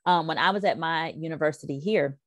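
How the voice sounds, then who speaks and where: A middle-aged woman, a career and life coach, talking as a podcast guest in an emphatic, animated way. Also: low-pitched, fairly quick, dry recording. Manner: emphatic, animated, quotes people in voices. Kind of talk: casual podcast conversation.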